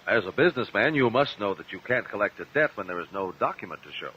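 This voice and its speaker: Courtroom attorney, measured, persistent, expressive intonation, radio drama style, dulled noisy old recording. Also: male voice, lowish voice, breathy edge, dull audio, full character voice. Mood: probing. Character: composed, probing attorney questioning witnesses.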